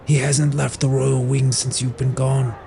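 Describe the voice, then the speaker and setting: A man's voice: medium pitch, little pitch movement, unhurried, creaky, dark tone, slight background noise. A man playing a loyal, worried aide in a fantasy audio drama, reporting bad news cautiously. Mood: grave, worried. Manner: informative, cautious.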